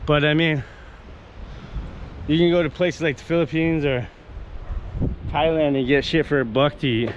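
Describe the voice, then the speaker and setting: A man's voice: a little high, fairly even intonation, normal speed, long pauses, dull audio, a little hiss or hum. A young man from Canada chatting casually on camera as he walks outdoors, rambling and enthusiastic with many fillers and restarts. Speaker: vlogger. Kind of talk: casual walk-and-talk vlog. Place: outdoors on a coastal town street.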